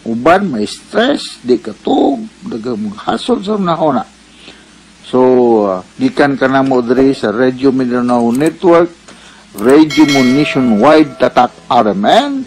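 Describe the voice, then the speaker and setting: A man's voice: mid-range voice, expressive, unhurried, vocal fry, husky, low-quality recording. A man with a Bisaya Filipino accent hosting a radio advice program, explaining things like a doctor. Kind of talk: advice talk with sign-off.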